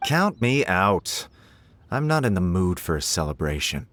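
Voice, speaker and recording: A man's voice: low voice, sing-song, a little slow, a bit bright, no room sound, exaggerated voice. A man playing a testy, proud official in a video game, blunt and grumbling like a sore loser. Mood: sulky, indignant.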